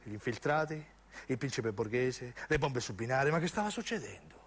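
A man's voice: mid-range voice, animated, fairly quick, husky. A man with a southern Italian accent narrating a theatrical monologue for radio, emphatic and given to incantatory repetition. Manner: emphatic, incantatory repetition, acting out the characters as he tells. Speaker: narrating actor.

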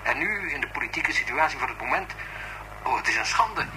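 Radio play role: serious, indignant male official, slightly high voice, noisy recording. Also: very animated, brisk. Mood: grave, indignant. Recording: hissy, humming background noise.

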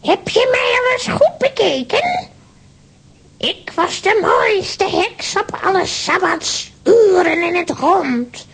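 A very high-voiced, ancient witch, gloats and brags spitefully. She draws her words out slowly and swoops theatrically, as in a children's radio play. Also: heavily acted. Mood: indignant, vain. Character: boastful, spiteful centuries-old witch in a children's story.

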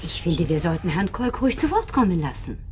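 An actress playing a sympathetic, outspoken club member in a radio drama. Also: female voice, lowish voice, sing-song, a little fast, a little mellow, a little hiss or hum. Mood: insistent.

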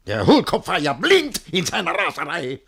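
An actor playing a jealous, pompous, panicky middle-aged master in a theatrical verse comedy for radio, raspy and breathless, swinging between muttering and bellowing. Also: male voice, a little high, huge pitch swings, normal speed, a bit bright, full character voice. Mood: indignant.

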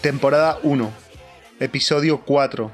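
A male announcer reads a dramatic scripted intro. His mid-pitched voice sits over very loud brass music. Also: normal speed. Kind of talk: dramatic scripted trailer narration.

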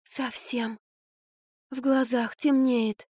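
High-pitched, melodramatic young actress, groaning. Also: female voice, little pitch movement, extremely slow, a little mellow, no echo, full character voice. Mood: faint, groaning. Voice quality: breathy, strained groaning. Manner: wailing, melodramatic. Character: young actress stuck in tight jeggings, whiny, melodramatic.

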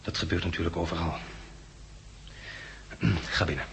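A man's voice: low-pitched, little pitch movement, normal speed, a bit bright, a little hiss or hum, rough recording. An actor playing a practical, dryly joking man surviving a catastrophe in a 1960s radio drama. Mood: grim, subdued. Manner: dry, wry, matter-of-fact.

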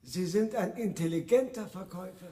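Man acting as courteous foreign weapons buyer, high male voice, deliberate, radio play, roomy and distant. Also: noisy background, natural voice. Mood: measured, businesslike. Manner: slow, measured, courteous. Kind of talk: German radio drama acting.

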